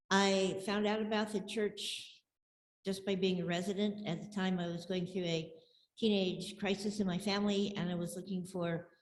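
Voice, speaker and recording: In a roomy, echoing space, a middle-aged or older woman speaks in a fairly low voice. She gives an informal personal introduction as a longtime member of a congregation. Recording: roomy echo at church microphone.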